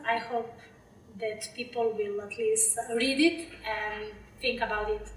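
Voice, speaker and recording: A woman's voice: on the high side, fairly even intonation, slow, slight background noise. A young woman with a Slovenian accent, answering interview questions in English with many fillers and restarts. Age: young adult. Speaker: interviewee, PhD candidate. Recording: echoey room, mic far off.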